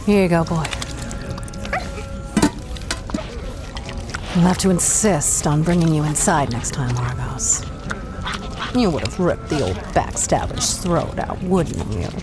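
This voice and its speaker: An actress playing a wry, defiant treasure-hunting adventuress in a 1930s-set audio drama, talking in a dry, sarcastic way. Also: female voice, low-pitched, expressive, normal speed, over music. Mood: wry, resigned.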